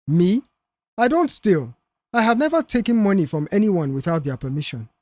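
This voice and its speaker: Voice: medium pitch, lively intonation, normal speed, a little mellow, dry recording, close to an everyday voice. A narrator voicing a defensive, indignant male worker in an audiobook story. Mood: defensive, challenging.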